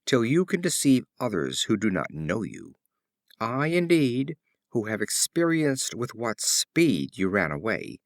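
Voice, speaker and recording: A man's voice: lowish voice, dry recording, clean studio sound. A man reading a fable voices a brave soldier, speaking formally and indignantly with scorn. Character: brave soldier, scornful of his cowardly companion.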